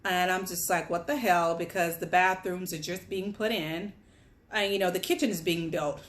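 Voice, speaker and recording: A woman's voice: fairly low pitch, steady tone, moderate pace. A young woman from Guyana, pregnant and on bed rest, telling a candid personal story in a casual chatty way while sitting at a table at home. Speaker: vlogger. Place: home, at a table among boxes.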